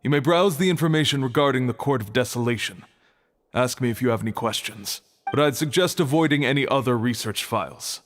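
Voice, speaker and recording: A man plays a serious scholar who keeps an academy's archive in a video game. He is reserved and measured, with a medium-pitched voice and a matter-of-fact delivery. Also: dry recording, faint music behind, lightly acted. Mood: guarded.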